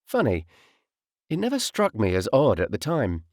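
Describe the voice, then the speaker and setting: Man, audiobook narrator. Reflective storytelling, animated pitch movement, close dry recording. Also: normal speed, no room sound. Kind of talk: literary first-person audiobook narration.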